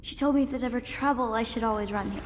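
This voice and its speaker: Woman as a high-voiced, candid little girl. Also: fast talker, lightly acted. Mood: playful, trusting. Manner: childlike, candid. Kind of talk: video game voice acting. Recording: long reverb, faint background noise.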